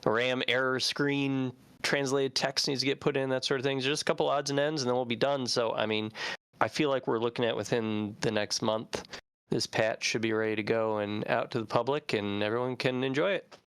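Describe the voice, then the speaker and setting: Man, medium pitch, clean smooth voice, dry recording, casual team-member chat. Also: fairly even intonation, brisk, fairly bright tone, no room sound. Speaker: game translation team member.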